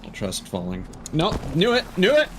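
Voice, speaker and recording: A man's voice: a little high, huge pitch swings, moderate pace, slight background noise. A man giving casual live gameplay commentary, thinking aloud in fragments. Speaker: game streamer. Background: game music.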